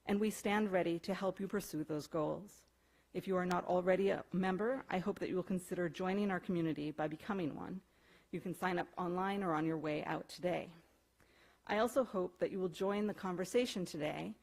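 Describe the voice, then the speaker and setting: A woman opens an event by reading prepared remarks to an audience. Her voice sits a bit low, and she delivers brisk, formal introductions at a steady pace. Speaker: event organizer introducing speakers. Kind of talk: scripted introduction. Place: event room before an audience.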